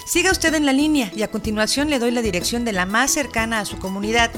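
A woman acts a polite helpline operator with a Mexican accent. She is formal and helpful in a scripted radio style, slightly high in pitch, over prominent music. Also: fast talker, close to an everyday voice. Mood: courteous. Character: courteous female health hotline operator.

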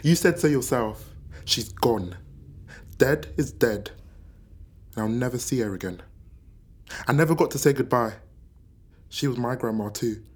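An actress playing a bitter, grieving granddaughter in a naturalistic radio drama, with a husky voice and a blunt, brooding manner. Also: female voice, extremely low pitch, lively intonation, measured pace, long pauses, a little mellow, full character voice. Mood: hurt, bitter.

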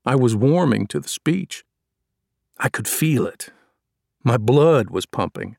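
A man's voice: mid-range voice, expressive, slow pace, vocal fry, darkish tone, no room sound, studio quality. A man reading a first-person novel aloud as scripted audiobook narration.

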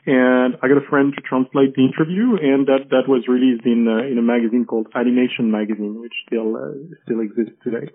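A man speaks down a thin, phone-like call line with a faint hiss. He talks haltingly, stacking fillers and restarts as he explains. His pitch sits in a middle range for a man. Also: flat delivery. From France. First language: French. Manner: halting, many fillers and repeated words. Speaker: guest, animation historian. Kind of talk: interview over a call.

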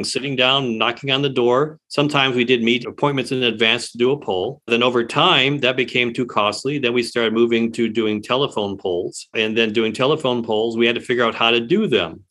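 A man's voice: medium pitch, a bit bright. A man in his sixties from Detroit, a pollster who is a guest on a radio interview and talks about his career in a chatty, rambling way. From Detroit, Michigan. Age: 60s.

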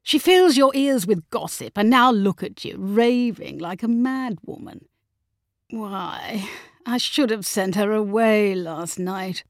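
A woman's voice: mid-range voice, animated, slow pace, vocal fry, fairly bright tone, no room sound, clean studio sound, exaggerated voice. A woman voicing a coldly bored, cutting aristocratic father in an audiobook, with a haughty, impatient drawl. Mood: cutting, exasperated. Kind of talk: audiobook character acting.

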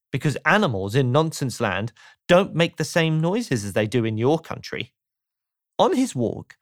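A man reads a children's storybook aloud at an unhurried pace. His delivery is playful and swings widely in pitch, as if sharing the silliness with a young listener. The recording is dry and close. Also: no room sound. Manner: playful, asks the listener rhetorical questions. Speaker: audiobook narrator. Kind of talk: scripted children's storybook narration.

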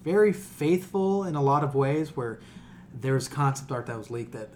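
Male podcast co-host, mid-pitched, opinionated and exasperated ranter, loose swearing, noisy room recording. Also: moderate pace. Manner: animated exasperated ranting, swears casually. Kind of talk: casual opinionated discussion.

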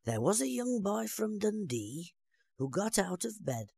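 A man reading a storybook aloud and voicing a gentle, mild-mannered knight in a soft, raised voice. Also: high voice, big pitch swings, measured pace, gravelly, pitched above the performer's own voice, heavily acted.

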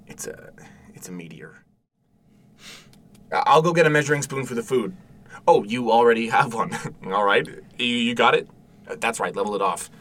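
A man playing a gentle, eager, protective father in an audio drama, speaking softly and haltingly in a naturalistic style. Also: medium pitch, animated, far from the mic. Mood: patient, encouraging. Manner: hesitant, soft-spoken, stammering when worked up.

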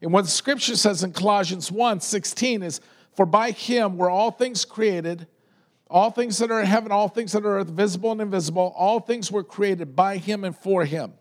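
Man, high voice, loud, pastor giving a sermon, repeats words as he builds a point. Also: normal speed.

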